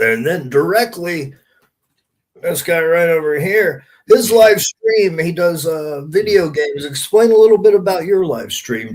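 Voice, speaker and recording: A man hosting a podcast in casual, conversational chat. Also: on the high side. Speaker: podcast host.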